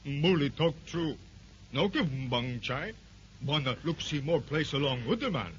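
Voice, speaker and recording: Old witch doctor, 1930s radio serial, male actor, a little high, broken pidgin, wily and fearful, dull lo-fi recording. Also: expressive, normal speed, slight background noise, natural voice. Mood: scheming. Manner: broken pidgin English, pleading.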